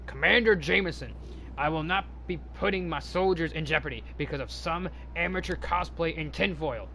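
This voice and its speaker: Dismissive male general acted by a man, bright hard-edged voice, formal authority, storybook reading. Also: on the high side, gravelly, slight background noise. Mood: angry, commanding. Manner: formal, measured, commanding. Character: stern, dismissive male Air Force general.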